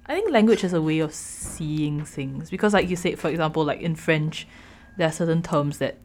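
Young Singaporean woman, lower voice, musing casually, lots of "like". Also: moderate pace, a little mellow, slight background noise. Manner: thoughtful, hesitant, many fillers. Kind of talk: casual conversation.

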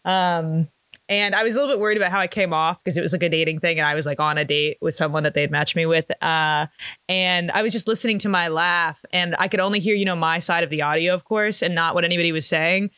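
A young woman with a naturally deep voice, co-hosting a podcast in casual chat with an easygoing, laugh-prone manner. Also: steady tone, fast talker, bright, forceful tone, smooth, no room sound. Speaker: podcast co-host, comedian. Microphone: own home recording setup.

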